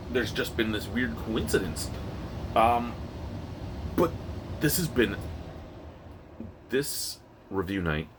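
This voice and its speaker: A man from Ontario with a Canadian accent giving a casual, rambling, opinionated beer review. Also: fairly low pitch. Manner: rambling, opinionated, occasionally profane. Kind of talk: casual unscripted product review. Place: indoor room used for recording reviews. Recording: noisy room with clear background hum.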